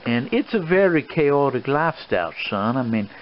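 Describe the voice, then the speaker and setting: A man's voice: mid-range voice, very animated, moderate pace, lightly acted. Man playing an old colonel, lo-fi, noisy. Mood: fatherly, cautioning. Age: older. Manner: hesitant, rambling, folksy, paternal. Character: elderly swashbuckling Southern colonel and gambler, courtly, boastful. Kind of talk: ASMR role-play monologue. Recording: dull lo-fi sound with clear background noise.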